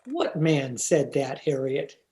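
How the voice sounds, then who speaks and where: Timid gentleman teacher played by an actor: middling pitch, deferential, formal, hesitant, radio sitcom. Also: big pitch swings, slow. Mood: doubtful. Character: mild, timid, proper male biology teacher. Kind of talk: old-time radio comedy acting. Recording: remote video-call recording.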